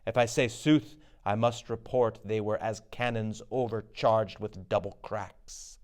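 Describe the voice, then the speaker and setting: A man's voice: lowish voice, steady tone, unhurried, darkish tone, close to an everyday voice. A man playing a wounded soldier in a stage tragedy, reporting battle in a grave, declamatory manner. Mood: grim.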